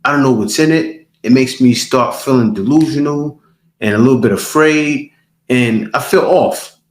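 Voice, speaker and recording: Animated man hosting a reaction channel. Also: mid-range voice, normal speed, roomy sound. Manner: animated, incredulous, repeats phrases for emphasis. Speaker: reaction video host. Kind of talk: casual commentary on clips.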